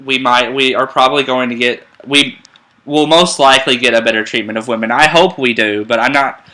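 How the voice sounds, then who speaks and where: A man's voice: medium pitch, steady tone, fairly quick, sharp tone. A young man co-hosting a casual fan radio chat, eager and rambling, often restarting his sentences. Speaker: podcast co-host.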